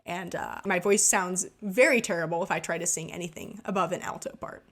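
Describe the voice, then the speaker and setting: A woman's voice: fairly low pitch, fairly quick, a bit bright. A woman vlogger telling an embarrassing story in a casual, self-deprecating way while narrating gameplay. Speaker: gaming vlogger.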